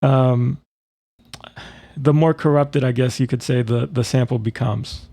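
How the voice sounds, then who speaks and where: Male tutorial presenter, informal, thinking aloud, mellow delivery with noticeable vocal fry. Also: mid-range voice, steady tone, measured pace, no room sound. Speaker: music software tutorial presenter. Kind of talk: casual spoken software demonstration.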